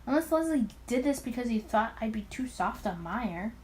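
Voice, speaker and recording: A woman's voice: on the high side, expressive, moderate pace, darkish tone, slight background noise, natural voice. A woman voicing an earnest male detective in a visual novel, fretful and bewildered in his thoughts. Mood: anxious.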